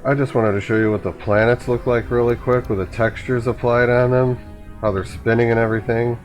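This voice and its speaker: A man's voice: mid-range voice, little pitch movement, fairly quick, soft background music. A man hosting a video guide with casual, explanatory commentary.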